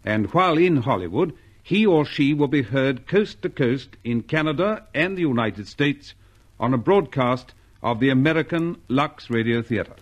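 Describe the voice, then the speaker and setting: Male radio host, 1950s style, formal and courteous, medium-pitched voice. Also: expressive, moderate pace. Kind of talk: 1950s radio announcing and scripted interview.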